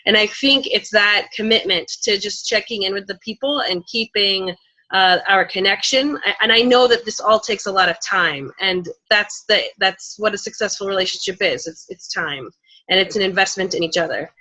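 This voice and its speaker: Bright-voiced woman panelist. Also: medium pitch, normal speed, low-quality recording. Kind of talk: spontaneous panel discussion.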